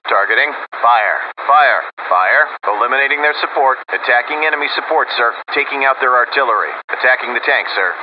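Clipped soldier on muffled radio. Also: male voice, mid-range voice. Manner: clipped, formal military reporting. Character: dutiful male soldier commanding an anti-air vehicle. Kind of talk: video game unit voice lines, military radio reports. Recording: muffled radio with loud vehicle noise.

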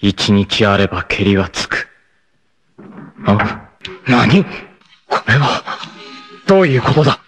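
A proud, stoic young warrior in an old TV cartoon, his voice low-set and solemn. He speaks slowly with huge swings between quiet gravity and anguished cries. A steady hiss runs under the worn broadcast audio. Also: soft background music, full character voice. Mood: strained. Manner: grave, solemn declarations with sudden anguished outbursts. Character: proud stoic young male martial artist, dying. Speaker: voice actor. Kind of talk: 1980s TV anime voice acting.

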